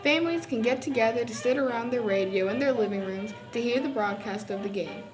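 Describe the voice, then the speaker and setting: A woman's voice: on the high side, fairly quick, rough recording. A young woman reading scripted newsreel narration as a student presenter. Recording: music playing under the voice. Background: clearly audible music.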